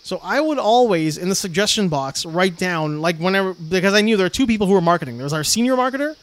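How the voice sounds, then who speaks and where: A young man chatting casually on a podcast, telling a long story with frequent laughs and lively retellings. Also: high voice, quick pace. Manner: rambling storyteller, laughs often, animated retellings. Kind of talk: casual chat.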